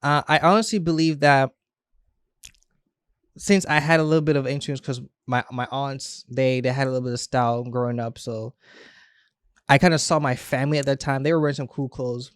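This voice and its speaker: A man's voice: mid-range voice, brisk, clear, resonant tone, dry recording. A young man who grew up between Trinidad and Florida, chatting casually as a podcast guest, laughing often as he tells stories. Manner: laughs often, relaxed storytelling with restarts. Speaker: stylist guest. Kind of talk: casual interview chat.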